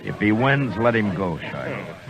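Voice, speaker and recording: An actor playing a calm, stern frontier marshal in a 1950s radio drama, with a low, steady, commanding delivery. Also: male voice, normal speed, crowd noise. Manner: low, steady, commanding, stammers when tender. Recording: lo-fi old radio transcription with hiss.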